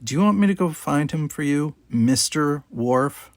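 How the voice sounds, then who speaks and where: A man's voice: a little high, animated, a little slow, no room sound, pitched above the performer's own voice. A man voicing a feisty young human woman cadet, blunt, pointed and colloquial, in a story reading.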